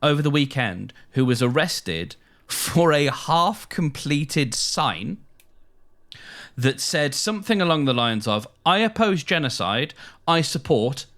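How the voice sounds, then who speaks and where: A British man co-hosting a podcast, explaining things casually in a halting way, often hedging and correcting himself. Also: a little high, very animated, fairly bright tone. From United Kingdom. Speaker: podcast co-host.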